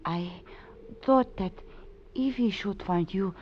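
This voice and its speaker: Actress as coy young French sweetheart, mid-pitched, vintage radio drama, muffled. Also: female voice, takes it slowly, lightly acted. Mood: pleading, coy. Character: coquettish young French mistress of a rogue. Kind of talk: 1940s-50s radio drama acting. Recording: muffled, faintly noisy old radio recording.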